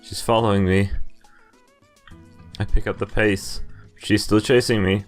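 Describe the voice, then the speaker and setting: Male playthrough narrator, slightly deep, reading visual novel text with some drama, faint music behind. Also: little pitch movement, unhurried. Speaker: video game playthrough host. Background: game music.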